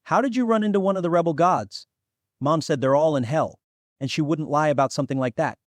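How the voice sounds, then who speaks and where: A man's voice: a little high, brisk, no room sound, studio quality, close to an everyday voice. A man voicing an impatient, skeptical young demigod nephew in a fantasy audio drama, with a blunt, exasperated manner. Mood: puzzled.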